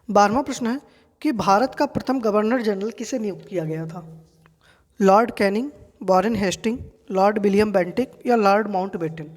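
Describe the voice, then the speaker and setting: Indian woman, exam coach, medium pitch, didactic question-and-answer delivery, repetitive emphasis, heavy hall-like reverb. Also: a little mellow. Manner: didactic, repeats key facts and asks rhetorical questions. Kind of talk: general knowledge quiz lesson for exam students. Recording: long reverberant hall-like echo.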